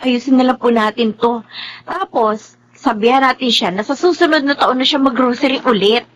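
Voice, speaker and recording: A whiny, teasing young Filipino woman as the little sister in a radio drama. Her voice is high and complaining, recorded dry. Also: moderate pace, dry recording, low-quality recording. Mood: exasperated. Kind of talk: Tagalog radio drama acting.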